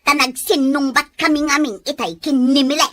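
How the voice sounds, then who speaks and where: A woman's voice: sits high, steady tone, normal speed, a bit bright, no room sound. A woman with an Ilocano accent playing a nosy, lazy, easily offended village gossip in an audio drama, whiny and needling. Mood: indignant, complaining. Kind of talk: Filipino audio drama dialogue.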